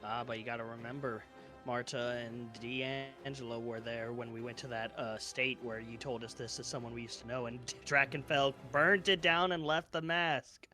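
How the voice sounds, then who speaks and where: A man's voice: mid-range voice, fairly even intonation, moderate pace, bright, forceful tone, faint music behind. A man chatting casually as a player in a tabletop roleplaying game.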